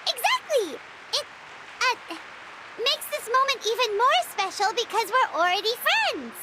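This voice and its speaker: A woman voicing a small, cheerful, chatty fairy girl with a squeaky childlike voice for a video game. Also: big pitch swings, unhurried, background noise, full character voice. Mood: eager. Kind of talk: video game cartoon-like acting.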